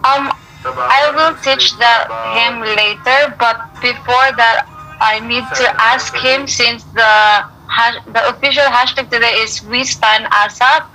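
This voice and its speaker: A woman hosting an online interview, speaking slowly and deliberately. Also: a little high, fairly even intonation, bright, forceful tone, a little hiss or hum, low-quality recording. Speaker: host. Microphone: video call.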